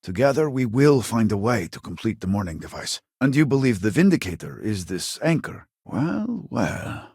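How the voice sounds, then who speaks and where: Husky old scientist guy from a video game, lowish voice, formal and impatient, proud. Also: sing-song, darkish tone, no room sound, studio quality, full character voice. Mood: grave, hopeful. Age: older. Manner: formal, measured, theatrical. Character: elderly, proud, impatient male scientist of a zealous colony.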